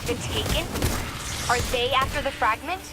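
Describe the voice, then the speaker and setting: An actress playing a determined, stubborn and self-assured young heroine in a video game, speaking firmly. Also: female voice, sits high, moderate pace, lightly acted. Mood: urgent. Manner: firm, confident. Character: determined, self-assured woman scientist-fighter, stubborn and driven. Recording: loud music over the voice.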